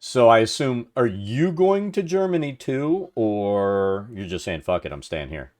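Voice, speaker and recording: A middle-aged man with a low, deep voice that suits radio. He hosts a livestream in an informal, rambling way, thinking aloud as he works and chatting with viewers. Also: big pitch swings, a little slow, darkish tone. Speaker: livestream host. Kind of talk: casual chat with stream viewers while working.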